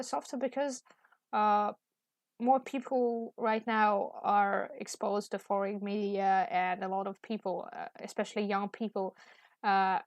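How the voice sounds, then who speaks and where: A young woman with a Russian accent talks in an unhurried, halting way, full of "uh"s and false starts. Her voice sits at a middling pitch, and the recording is close and dry with no room sound. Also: no echo. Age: young adult. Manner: hesitant, many fillers and restarts. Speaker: student. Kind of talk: casual chat while drawing.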